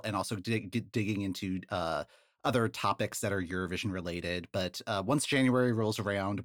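A low-voiced man from the United States talks casually as a podcast guest. He hesitates often and laughs easily, and the sound is dry and close. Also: dry recording.

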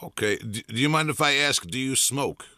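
A man from the United States hosts a casual interview in a loose, friendly way, with a bright, sharp voice. The recording is dry and close. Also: medium pitch, moderate pace, no room sound. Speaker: podcast host and vocalist.

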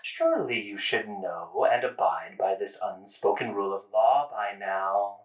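A man's voice: fairly low pitch, lively intonation, a little slow, far from the mic. A man voicing a lofty, condescending scientist who lectures in a pompous way. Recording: echoey, from off-mic.

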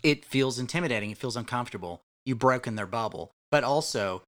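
A man's voice: medium pitch, brisk, a bit bright. A man with a Southern US accent who runs live-action games, chatting casually on a podcast and often correcting himself as he goes. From Tennessee. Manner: rambling, self-correcting. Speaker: larp game runner.

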